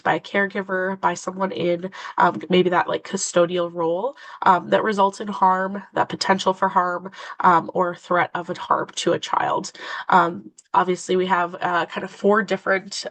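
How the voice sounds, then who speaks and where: Halting woman presenter with a cold. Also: on the low side, fairly bright tone, low-quality recording. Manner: halting, many fillers. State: nasal from a cold. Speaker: prevention education coordinator. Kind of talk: webinar presentation.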